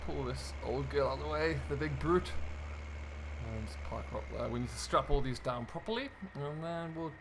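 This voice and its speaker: Tractor engine noise sits under a man with a mid-pitched voice. He narrates his gaming role-play casually, chatting and thinking aloud at an easygoing pace as he goes. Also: lively intonation, normal speed. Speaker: gaming video host.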